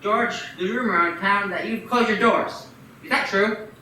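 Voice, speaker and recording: A man playing a scheming, wealthy old banker who sounds smooth and falsely helpful with a menacing edge. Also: sits high, moderate pace, pushed and bright, a little hiss or hum. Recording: echoey room, far from the mic.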